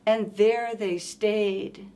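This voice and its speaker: A woman reading aloud for young children in an expressive, deliberate storytime manner, engaging her listeners directly. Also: medium pitch, slight background noise. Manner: expressive, asks listeners simple questions. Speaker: children's story-time reader.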